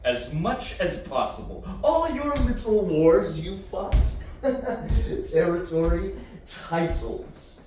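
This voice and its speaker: An actor playing an aloof, coldly superior post-human warrior in a sci-fi audio drama, speaking in deliberate, halting, solemn phrases. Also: male voice, high-pitched, animated, dark tone. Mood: scornful, intense. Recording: very echoey, distant, faint noise.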